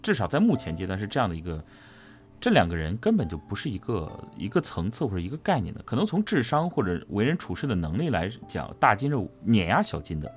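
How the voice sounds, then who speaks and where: Male interviewee, voice actor. Animated, emphatic, explanatory, conversational, with faint music underneath. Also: lowish voice, fast. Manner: emphatic, repeats phrases for stress. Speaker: voice actor interviewed about his character. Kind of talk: casual explanatory interview answer.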